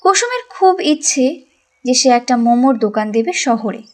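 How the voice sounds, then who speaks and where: A woman narrating a cartoon story in a lively, sing-song way. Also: high voice, brisk.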